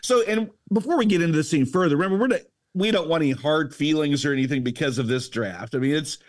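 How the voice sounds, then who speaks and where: A man's voice: a little high, fast. A man hosting a casual podcast, explaining the rules in an upbeat, explanatory way while music plays behind him. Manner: upbeat and explanatory, walks listeners through the rules. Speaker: podcast host running a draft lottery. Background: music playing behind him.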